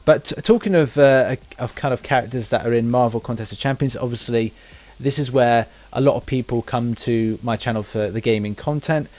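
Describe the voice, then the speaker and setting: An Englishman hosting a casual podcast interview for a gaming channel, with halting, rambling explanations. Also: mid-range voice, a little mellow. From England.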